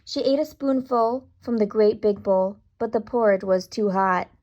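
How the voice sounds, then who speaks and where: A woman reading a children's story aloud with deliberate, dramatic emphasis, speaking directly to young listeners. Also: mid-range voice, highs cut off. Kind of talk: expressive children's read-aloud with asides to listeners.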